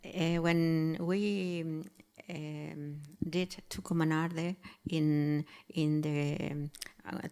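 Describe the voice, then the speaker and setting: A woman in her seventies from Argentina, speaking as an artist guest in a public panel conversation, halting and searching for her words. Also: low-pitched, creaky, dark tone, clean tone. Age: 70s.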